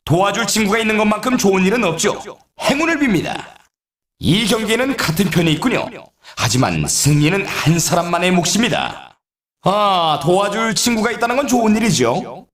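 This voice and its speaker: An excitable male video game announcer calling snowboard races. His voice sits high and swings dramatically up and down. The phrasing stays formal and polite, delivered with exclamatory, showy flair. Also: fairly quick, roomy sound. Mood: upbeat.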